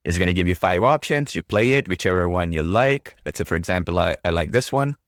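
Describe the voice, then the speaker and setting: A man casually walking through a software demo, upbeat and breezy. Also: lively intonation, fast, no room sound, studio quality. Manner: upbeat, breezy, says "boom" often. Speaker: product demo host. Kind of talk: casual screen-recorded walkthrough.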